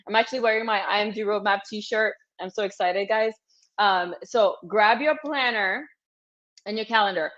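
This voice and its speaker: A woman's voice: medium pitch, normal speed, a bit bright. Upbeat woman coaching a webinar crowd. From Cameroon. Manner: upbeat, direct, repeats cues like "okay?" to the audience. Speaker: physician hosting a webinar for international medical graduates. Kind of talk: energetic live webinar coaching. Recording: slightly dull lo-fi webinar audio.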